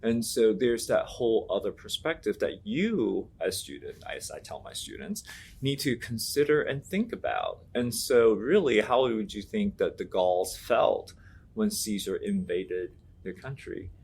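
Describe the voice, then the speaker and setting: A man's voice: fairly low pitch, sing-song, unhurried, creaky, darkish tone, breathy edge. A man from Taiwan whose first language is Mandarin, a Latin teacher giving earnest, reflective interview answers, thinking aloud with fillers and restarts.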